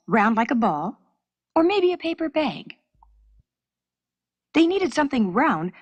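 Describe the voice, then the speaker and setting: Expressive woman narrator, animated and slightly husky. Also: on the high side, dry recording. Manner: expressive storytelling. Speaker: narrator of a children's educational filmstrip. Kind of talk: scripted children's story narration. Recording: dry 1970s educational cassette recording.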